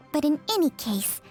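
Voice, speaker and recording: A little snake creature for a video game, extremely high in pitch and very animated. She is sassy and chiding, speaking in unhurried, theatrical scoldings over game music. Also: exaggerated voice.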